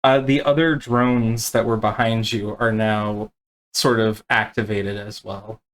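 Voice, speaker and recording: A man acting as game master, explaining rules and narrating tabletop play in a halting, unscripted way. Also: fairly low pitch, level tone, fairly bright tone.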